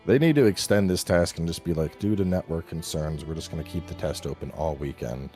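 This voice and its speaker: Super deep voiced guy in his 30s, blunt casual streamer banter with some swearing, music clearly going behind him. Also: fairly quick, dark tone. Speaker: gaming streamer.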